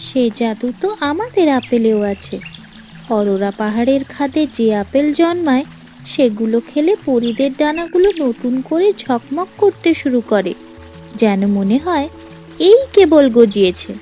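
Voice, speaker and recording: Woman acting clever bossy cartoon fairy, high-pitched, mellow tone, theatrical fairy-tale delivery. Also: expressive, unhurried, soft background music. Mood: matter-of-fact, thoughtful. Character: clever female fairy, helpful schemer, teasing and bossy.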